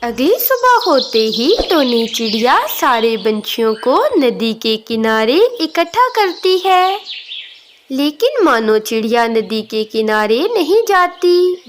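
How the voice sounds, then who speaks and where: A woman narrates a children's tale in a high voice. She goes slowly, stretching phrase endings, with birdsong and slight noise behind her. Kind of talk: scripted children's story narration.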